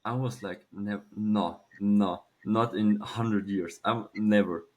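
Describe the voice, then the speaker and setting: Low-voiced young man telling his story. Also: fairly even intonation, a crowd in the background. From Switzerland. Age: early 20s. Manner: halting, informal, swears freely. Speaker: podcast guest, young entrepreneur. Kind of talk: casual personal storytelling.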